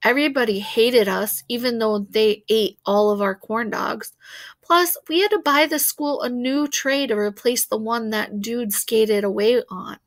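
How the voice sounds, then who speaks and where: A woman's voice: a little high, unhurried. A woman reading a children's book aloud expressively as a storyteller. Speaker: read-aloud reader.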